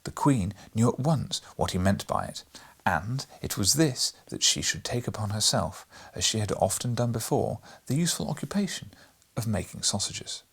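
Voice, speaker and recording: A man narrating a children's storybook as an audiobook reader, in an expressive, measured way. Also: on the low side, creaky, breathy edge.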